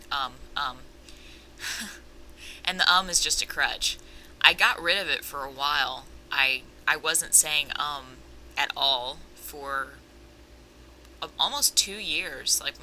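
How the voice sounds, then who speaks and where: A young woman with a low, sharply bright voice talks casually to her camera, her throat sore and swollen. She rambles and catches herself on tangents. A faint hiss of noise sits behind her. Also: rough recording. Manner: rambling, self-aware, trails off on tangents. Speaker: vlogger. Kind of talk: casual personal vlog.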